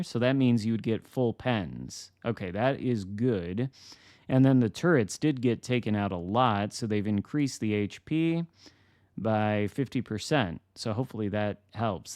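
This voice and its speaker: A man's voice: mid-range voice, a little mellow, no room sound, clean studio sound. A man hosting a gaming video, reading patch notes aloud with casual, mildly skeptical asides.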